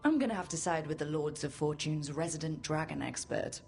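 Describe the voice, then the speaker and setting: A decisive young woman adventurer in a fantasy game. Her voice is low and composed, with music playing behind her. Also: animated, a little fast, creaky, darkish tone. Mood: wry, easygoing. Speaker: voice actor. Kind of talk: video game cutscene acting.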